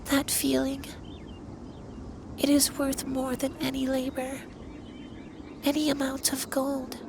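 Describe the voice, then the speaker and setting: A man's voice: extremely high pitch, completely flat delivery, exaggerated voice. A man voicing a centuries-old, androgynous male dragon in an intimate ASMR roleplay, speaking formally and earnestly as a stern but caring lover. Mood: tender, reassuring. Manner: formal, earnest, deliberate phrasing. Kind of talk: ASMR roleplay, intimate scripted monologue addressed to listener. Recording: clear background noise with ambience.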